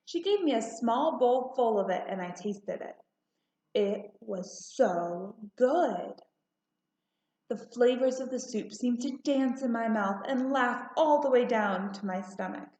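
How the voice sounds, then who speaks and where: A woman narrating a children's storybook aloud in a deliberate, expressive way. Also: fairly high pitch. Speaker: storybook reader. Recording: roomy, slightly muffled home recording.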